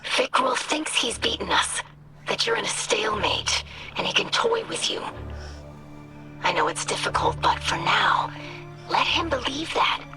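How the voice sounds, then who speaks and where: A woman playing an earnest, hopeful young female alien scholar in a video game, speaking carefully. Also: a little high. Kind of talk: video game cutscene acting. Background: cinematic music.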